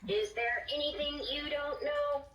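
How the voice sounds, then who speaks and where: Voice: sits high, a little slow, pushed and bright, a little hiss or hum. A voice actor playing a cheerful little toy robot tutor with upbeat, encouraging, sing-song lines, heard through a toy speaker. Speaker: learning toy voice. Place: toy speaker played in a room. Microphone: recorded from a distance. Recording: roomy, distant toy speaker with music.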